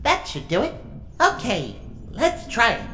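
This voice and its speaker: Woman as a high-pitched, fretful robot helper. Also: huge pitch swings, measured pace. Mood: worried. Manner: fretful, repeating exclamations. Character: anxious, fussy robot assistant. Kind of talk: audio drama acting.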